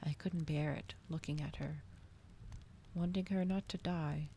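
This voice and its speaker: Woman, ASMR story reading, hushed and breathy, gravelly fry, even intonation, faint background noise. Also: low-pitched, unhurried. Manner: soft, hushed, breathy, often slipping into whisper. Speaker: streamer reading a novel aloud.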